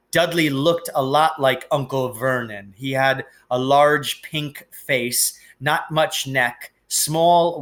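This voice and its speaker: A man reads a storybook aloud at an unhurried pace. His phrasing is deliberate and emphatic, giving the narration plenty of expression. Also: mid-range voice, a bit bright. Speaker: teacher reading aloud.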